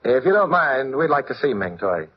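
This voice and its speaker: An actor playing a suave, wry, unflappable gentleman detective with an urbane, dryly joking manner, in 1940s radio style. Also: medium pitch, sing-song, moderate pace, natural voice. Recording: muffled, faintly noisy old radio recording.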